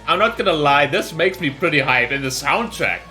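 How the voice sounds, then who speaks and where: A man giving casual, excitable and rambling reaction commentary as host of a gaming video. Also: a little high, brisk, faint music behind.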